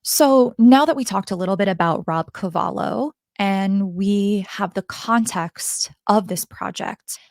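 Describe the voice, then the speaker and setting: A woman with a hoarse, gravelly voice reviews an album in a casual, chatty vlog. She talks straight to her viewers through a handheld microphone. The sound is dry and close, with no room echo. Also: mid-range voice, darkish tone. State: hoarse, raspy voice from the cold. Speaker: music reviewer.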